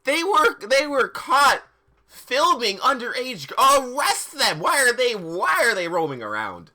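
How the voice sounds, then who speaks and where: Male livestream reader, lively swooping pitch, slow deliberate delivery, theatrical and incredulous. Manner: theatrical, incredulous, exaggerated emphasis. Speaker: livestream host reading fan fiction aloud. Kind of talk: mocking dramatic reading with asides.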